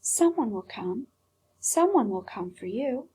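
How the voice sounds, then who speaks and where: Woman voicing a stern, husky old female doll. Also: a little high, very animated, a little slow, mellow, voice pushed up, full character voice. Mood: gentle, encouraging. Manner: emphatic, scolding, exhorting. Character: old female antique doll, stern, bossy, urging hope.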